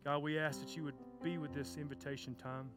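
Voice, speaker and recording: Guy preaching hard in church, kinda high voice, guitar playing behind him. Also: steady tone, a little fast. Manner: fervent shouting preacher, stomps and cries. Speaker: children's pastor. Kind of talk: sermon and closing prayer. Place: church sanctuary. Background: soft guitar music.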